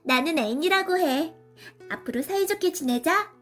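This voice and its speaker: A woman's voice: very high voice, expressive, normal speed, a bit bright, faint music behind. A woman voicing an eager, emotional, chatty young orphan girl in a children's storybook, speaking in a heartfelt, exclamatory way. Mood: friendly. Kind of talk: children's storybook character acting.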